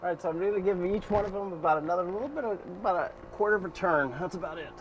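A man's voice: high-pitched, rapid-fire, lo-fi sound, slight background noise. A man in his fifties walking through a boat repair in a cramped engine room, talking plainly as he works. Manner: plain practical talk with fillers, thinking aloud while working. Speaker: boat owner vlogger. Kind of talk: hands-on repair walkthrough. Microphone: action camera mounted in the engine room. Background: running boat engine.

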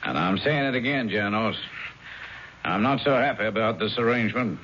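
An actor playing a gruff, suspicious parole officer in a 1970s radio drama. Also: male voice, lowish voice, normal speed, a bit bright, close to an everyday voice. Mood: stern, warning. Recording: muffled 1970s radio broadcast, faint noise.

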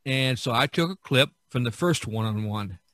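A man's voice: mid-range voice, animated, moderate pace, a bit bright, no room sound. A man hosting a podcast in casual, conversational talk. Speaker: podcast host.

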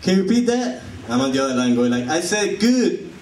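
A man's voice: fairly high pitch, very animated, brisk, darkish tone, a little hiss or hum. A young man from Puerto Rico with a Puerto Rican Spanish accent, a native Spanish speaker performing a playful stand-up comedy set. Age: young adult. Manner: joking, playful crowd work. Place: comedy club stage. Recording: echoey live room from a distance. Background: laughing audience.

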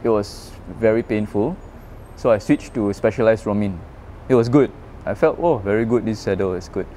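A man's voice: lowish voice, moderate pace, slight background noise, low-quality recording. A man who grew up in Malaysia, speaking, chatting casually about his road bike in an open parking garage at night, full of fillers and restarts. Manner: chatty, animated when telling stories, many fillers and restarts. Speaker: bike owner, guest. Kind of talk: casual interview chat.

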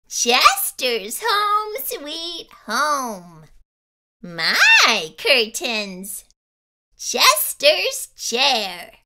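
A woman voicing a vain, bossy, mischievous male cat in a squeaky, childlike voice for a storybook. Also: sharp tone, exaggerated voice. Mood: boastful. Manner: boastful, defiant, drawn-out delivery.

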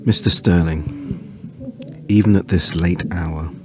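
An actor in a radio play playing a grave ambassador with a formal, measured manner. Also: male voice, low-pitched, fairly even intonation, heavy background noise. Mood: grave warning. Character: grave, formal male Middle Eastern ambassador giving warning. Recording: clear crowd of voices behind.

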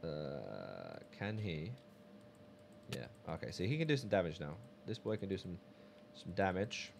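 A man's voice: deep voice, big pitch swings, measured pace, vocal fry, slight background noise. A man chatting casually on a game live stream, reading viewer messages aloud.

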